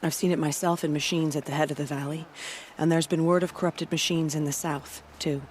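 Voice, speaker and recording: An actress playing a proud female warrior who guards a border village, speaking in a solemn, steady and devout way. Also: sits low, a little fast, slight background noise, natural voice.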